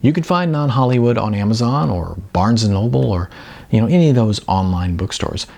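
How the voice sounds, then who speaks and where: A man who is an author reading his own novel as audiobook narration, with expressive emphasis and drawn-out words. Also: lowish voice, gravelly.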